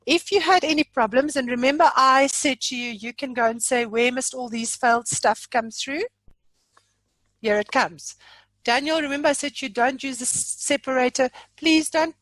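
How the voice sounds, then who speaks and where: A woman with a fairly high voice leads an informal online training session. She talks in a chatty, playful way with plenty of exaggeration as she walks attendees through software. The sound is dry and close. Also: no echo, low-quality recording. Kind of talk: informal live webinar walkthrough.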